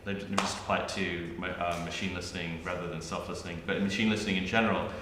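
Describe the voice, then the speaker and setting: A low-pitched man with a bright, forceful edge speaks on an academic panel. He thinks aloud, restarting his sentences and filling pauses. His voice rings through a roomy hall with a long reverb tail and a faint ambience. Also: steady tone, slight background noise. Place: university arts centre hall.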